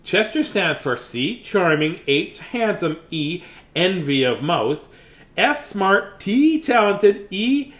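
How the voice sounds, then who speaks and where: A man's voice: high-pitched, sing-song, takes it slowly, fairly bright tone, reverberant, voice pushed up, full character voice. A man voicing a cheeky, boastful male cat from a children's storybook in a smug, showy manner. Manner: smug, showy, self-admiring.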